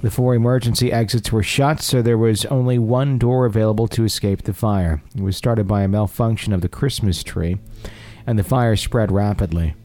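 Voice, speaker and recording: A man with a low, mellow voice hosts a ghost-story podcast. He is conversational and agreeable, moving between casual chat and reading aloud. Music plays faintly behind him. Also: moderate pace. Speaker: podcast host.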